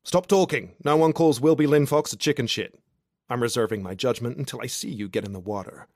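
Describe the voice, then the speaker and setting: A man's voice: fairly low pitch, fast talker, gravelly, no room sound, studio quality. Man playing a blunt, grumbling young Aussie guy. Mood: indignant. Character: awkward young Australian man, self-deprecating, grumbling. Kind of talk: audiobook character dialogue.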